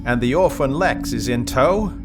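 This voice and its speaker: An actor playing a laconic, hard-drinking gunslinger mercenary with a formal, old-fashioned manner in an audio drama. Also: male voice, mid-range voice, lively intonation, lightly acted. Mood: dry, courtly. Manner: slow, formal, old-fashioned gentlemanly talk. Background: music.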